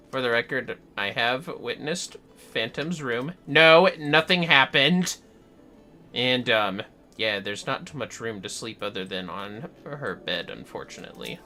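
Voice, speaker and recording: A man's voice: mid-range voice, pushed and bright, a little hiss or hum. A man chatting casually on a game livestream, musing aloud and swearing mildly now and then. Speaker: livestreamer playing a video game.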